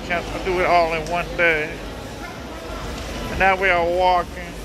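A man's voice: high voice, flat delivery, a little slow. A man casually narrating a walk through a busy shopping mall on a handheld phone camera, joking and rambling as he goes. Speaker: vlogger. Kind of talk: casual walking vlog. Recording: noisy mall ambience.

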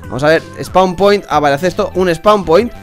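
A young man hosting a casual game playthrough, excitable and quick to shout and swear. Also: sits high, soft background music. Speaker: gaming channel host. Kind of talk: casual let's play chat.

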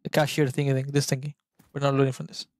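A man's voice: medium pitch, little pitch movement, fast talker, darkish tone, dry recording. A young man, chatting casually through a gameplay guide and sometimes hunting for words. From Argentina. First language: Spanish. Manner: chatty, searches for words, swears at the game. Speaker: gaming YouTuber.

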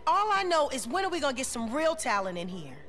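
An actor playing a brash, impatient casino boss. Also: male voice, extremely high pitch, sing-song, quick pace, pushed and bright, full character voice.

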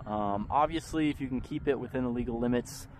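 A man's voice: mid-range voice, brisk. Young male hobby vlogger, mid-range voice, easygoing chatter, recorded outdoors with light background noise. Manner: relaxed, chatty, frequent ums. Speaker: RC hobby channel host. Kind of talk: casual commentary while flying. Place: open park field outdoors.

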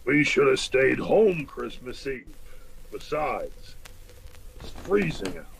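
A man's voice: mid-range voice, very animated, takes it slowly, vocal fry, slight background noise, full character voice, poor audio. A man playing a grouchy, pompous middle-aged school principal in old-time radio comedy style, grumbling and formal. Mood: grumpy.

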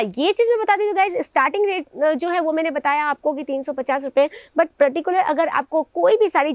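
A young woman with a high, bright-sounding voice presents sarees in a shop, talking eagerly and directly to her viewers. The recording is dry and close, with little room sound. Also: dry recording. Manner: chatty, enthusiastic, addresses viewers directly. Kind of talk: casual product showcase.

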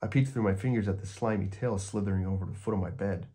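A man reading a children's picture book aloud in an expressive, deliberate storytelling manner. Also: on the low side, a little hiss or hum.

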